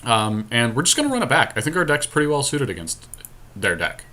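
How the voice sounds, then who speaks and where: Man, bright forceful voice, mid pitch, casual game commentary, informal podcast co-host. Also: big pitch swings, brisk.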